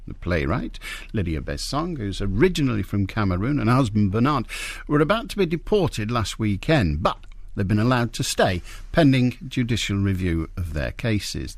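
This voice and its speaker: A man presenting a live morning radio interview from a studio. He talks in an animated way, often restarting his questions. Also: fairly low pitch.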